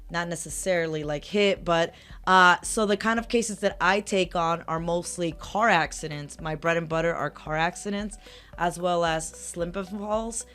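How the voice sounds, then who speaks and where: Chatty woman attorney with a lowish voice. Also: moderate pace, fairly bright tone, faint music behind. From Los Angeles, California. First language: Spanish. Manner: chatty, frequent "uh" and "um" fillers, self-correcting. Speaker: personal injury attorney, guest. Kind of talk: casual interview answers.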